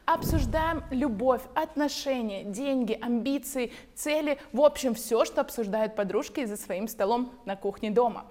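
A woman hosts a studio talk show with a slightly raised voice. She presents in an upbeat, measured way, guiding the conversation along. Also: on the high side, mellow. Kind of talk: show introduction and moderating.

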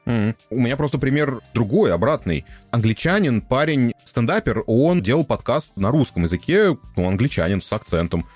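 A man's voice: mid-range voice, big pitch swings, normal speed, hardly any pauses, darkish tone, husky, faint music behind. A man hosting a podcast, thinking aloud in a loose, slangy, conversational way. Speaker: podcast host.

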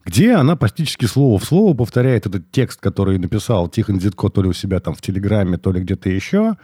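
Low, mellow man with a stuffy cold. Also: animated, fast talker, husky, no echo, studio quality. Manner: colloquial, worked up. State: congested with a cold. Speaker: podcast host. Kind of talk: casual conversational podcast talk.

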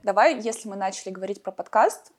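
A woman's voice: mid-range voice, quick pace. Woman cohost just chatting on a podcast, talks a lot, explains stuff. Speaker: podcast co-host. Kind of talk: casual chat.

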